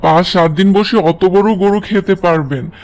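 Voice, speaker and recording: A man's voice: high voice, normal speed. A man voicing a small, clever jackal in a children's cartoon, earnest and deferential as he persuades others. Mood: deferential, coaxing. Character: small clever jackal, worried, polite and pleading schemer. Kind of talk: children's cartoon story dialogue.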